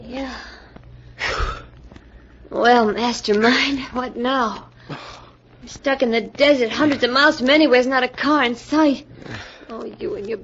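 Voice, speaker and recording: An actress playing an excitable, sardonic gangster's girlfriend on the run in an old radio drama, slangy and melodramatic. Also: on the high side, a little slow, pauses often. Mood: sarcastic, annoyed. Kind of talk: 1940s radio drama acting. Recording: muffled old-time radio recording, some noise.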